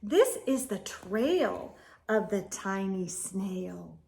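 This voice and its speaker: A woman storyteller reading a children's picture book aloud in a dramatic, expressive way for young listeners. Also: mid-range voice, drawn-out words, gravelly. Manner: dramatic, drops into whispers, addresses young listeners.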